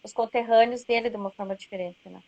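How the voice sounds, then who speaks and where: A woman author with a somewhat high voice, talking casually about her own book. She reflects aloud in an easy conversational way and closes her points with tag questions. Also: fairly even intonation, quick pace, a little mellow, no room sound, muffled recording.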